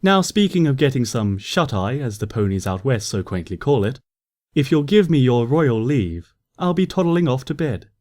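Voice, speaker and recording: A man voicing a wise, gentle female moon princess pony who speaks in a formal, slightly old-fashioned way in a storybook reading. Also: mid-range voice, very animated, moderate pace, lightly acted. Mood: fond. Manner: formal, archaic-tinged, tender speech.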